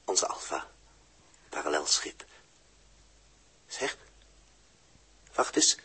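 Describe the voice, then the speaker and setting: Wary male astronaut for vintage radio sci-fi: low, very husky, slow short phrases, brooding wonder. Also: sing-song, pushed and bright, heavily acted. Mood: hushed, awed. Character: male spaceship crewman exploring a strange planet, wary, awed. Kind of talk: 1960s Dutch radio drama acting.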